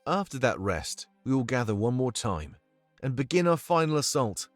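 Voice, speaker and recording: Man acting a commanding, animated rebel strategist. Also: mid-range voice, no echo, faint music behind, natural voice. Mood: resolute, briefing. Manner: formal, deliberate briefing. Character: male furry rebel strategist, confident, measured, commanding. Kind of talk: scripted visual novel game dialogue.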